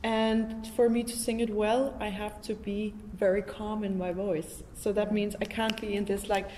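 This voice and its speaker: A woman speaks as an interviewer in casual, reflective conversation. She is informal and enthusiastic, working out her thoughts as she goes. Her mid-pitched voice rings in a long hall reverb over faint ambient noise. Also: little pitch movement, normal speed. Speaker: interviewer, podcast host. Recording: noisy, reverberant hall recording.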